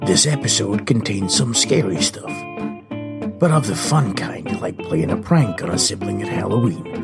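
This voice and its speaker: A man hosting a podcast with scripted comedic narration, husky in voice and playful, punning and theatrical in delivery. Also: fairly low pitch, animated, moderate pace. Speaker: podcast host. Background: faint music.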